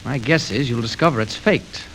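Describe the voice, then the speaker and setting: An actor playing a bold, self-assured reporter who is secretly a superhero, brisk and declamatory in 1940s radio serial style. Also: male voice, mid-range voice, a bit bright, close to an everyday voice. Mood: cool, decisive. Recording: hissy, crackly old radio record transfer.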